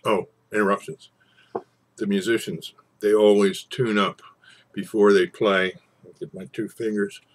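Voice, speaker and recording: Slow, husky man telling tales. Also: lowish voice, little pitch movement. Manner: theatrical, savouring phrases with pauses. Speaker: storyteller and poetry reader. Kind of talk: informal introduction, then dramatic poetry recitation.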